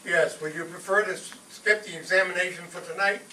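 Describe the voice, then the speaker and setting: A man's voice: high-pitched, fairly even intonation, a little fast, bright, forceful tone. A man playing a blustering, scheming father and husband in an old-time radio comedy on stage. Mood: feigned concern. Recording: echoey live stage from far off, faint noise.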